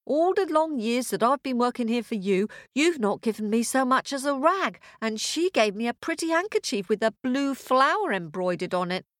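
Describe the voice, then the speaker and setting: A polite servant maid from a storybook, acted by a woman, airing her grievance. Her voice is raised in pitch and full of movement. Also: animated, moderate pace, few pauses, no echo, studio quality, close to an everyday voice. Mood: aggrieved.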